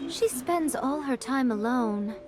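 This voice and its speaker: A woman's voice: high-pitched, animated, takes it slowly, very noisy. A woman playing a poised, cryptic shrine priestess in a video game. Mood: musing, composed. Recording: game music behind. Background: game music.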